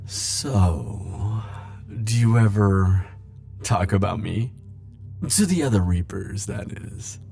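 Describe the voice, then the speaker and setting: Man playing low, slow, flirty immortal charmer. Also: expressive, creaky, warm tone, faint music behind, heavily acted. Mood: coy, playful. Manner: coy, theatrical, drawn-out teasing phrasing. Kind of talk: ASMR roleplay audio acting.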